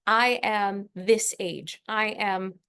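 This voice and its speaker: A woman hosting an astrology podcast, speaking unscripted in a reflective, emphatic way with deliberate pauses mid-thought. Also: medium pitch, fairly even intonation, fairly bright tone, smooth. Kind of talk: unscripted spiritual astrology lesson.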